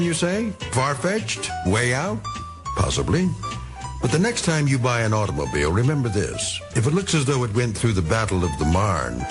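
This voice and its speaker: An actor playing a wry, ominous narrator of eerie radio tales, measured and dramatic. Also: male voice, fairly low pitch.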